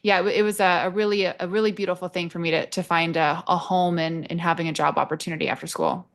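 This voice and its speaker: Lower-pitched American-accented woman telling her story. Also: little pitch movement, quick pace. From Nottingham, England. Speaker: podcast guest, army veteran working in HR. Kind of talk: conversational personal storytelling in an interview.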